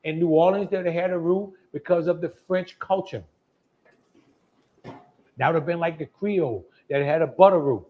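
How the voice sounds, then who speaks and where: A man's voice: on the high side, normal speed, pauses often, narrow, tinny audio. A man hosting a live cooking demonstration in a folksy, chatty, colloquial way. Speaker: host of a live cooking show.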